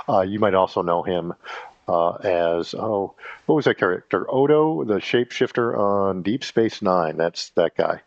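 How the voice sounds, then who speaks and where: A man hosting a podcast in a casual, rambling monologue, halting often with fillers and restarts. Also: low-pitched, no echo. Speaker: podcast host. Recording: dry, dull lo-fi sound.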